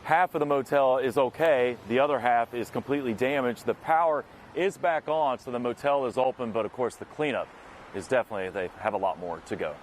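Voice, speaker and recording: A man's voice: medium pitch, a little fast. Male field reporter, faint outdoor noise. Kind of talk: live on-scene news report. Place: outdoors at a storm-damage scene.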